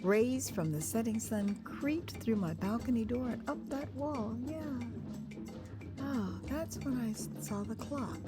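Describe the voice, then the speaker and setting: An American woman narrating her own memoir as a dramatized first-person story, conversational and expressive, acting out moments as she goes. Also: on the high side, measured pace. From United States. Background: music.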